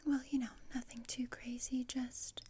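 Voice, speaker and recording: A woman playing a morbid, scheming, deadpan teenage girl in a whispered ASMR roleplay, with a measured, dryly macabre manner. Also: high-pitched, slight background noise, close to an everyday voice. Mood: coolly scrutinizing.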